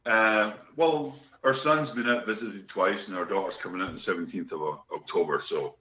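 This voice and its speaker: Scottish man, bright forceful voice. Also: on the low side, a little fast. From Scotland. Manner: chatty, joking storyteller. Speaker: guest musician. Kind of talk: casual interview chat. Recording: echoey room, dull lo-fi sound.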